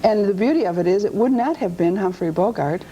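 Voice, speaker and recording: A woman's voice: mid-range voice, animated, brisk, dark tone, highs cut off. A woman hosting a TV talk show, asking her guest interested questions. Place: TV studio.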